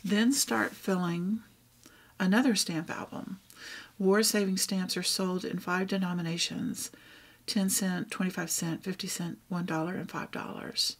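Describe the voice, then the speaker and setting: A woman with a Texan accent, showing and reading out old thrift finds in a casual, gentle way. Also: on the low side, steady tone, measured pace. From Texas. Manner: reads old items aloud, softly remarking on them. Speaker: vlogger. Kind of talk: casual thrift haul show-and-tell.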